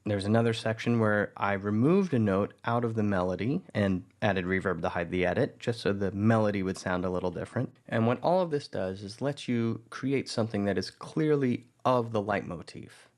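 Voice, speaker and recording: A man narrating a tutorial on music editing in a relaxed, explanatory way. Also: lowish voice, normal speed, few pauses. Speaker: audio drama producer teaching music editing. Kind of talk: tutorial voice-over.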